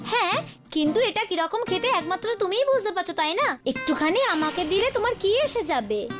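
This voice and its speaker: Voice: very high voice, sing-song, fairly quick. A performer voicing a spiteful, self-satisfied bird in a children's cartoon fable, with childlike, defiant bickering. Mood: pleading. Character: spiteful, self-satisfied second head of a two-headed bird. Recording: music behind the voice.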